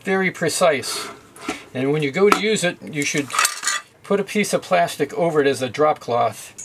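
A man's voice: on the high side, animated, moderate pace, heavy background noise. A middle-aged man demonstrating at a boat's galley sink, explaining steps in a plain, methodical way with occasional fillers. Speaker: sailing how-to video host. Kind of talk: hands-on demonstration.

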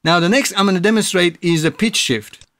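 Man, fairly high voice, dry close sound, informal tutorial narration, explanatory. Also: fairly quick, fairly bright tone, no echo. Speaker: music production tutorial presenter. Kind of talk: casual software tutorial narration.

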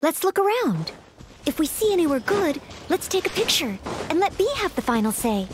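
An actress voicing a shy, earnest, dutiful teenage maid knight in an anime-style game, polite and hesitant. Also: female voice, extremely high pitch, very animated, normal speed, background noise. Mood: earnest, helpful.

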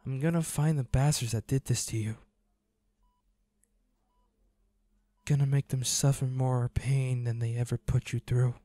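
Guy playing a devoted hunter boyfriend in an audio roleplay, really slow and mellow, halting and pleading, dry close sound. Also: mid-range voice, no room sound, lightly acted. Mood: grimly vengeful. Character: devoted, grieving young male hunter, werewolf's boyfriend. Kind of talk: intimate dramatic monologue to an injured lover.

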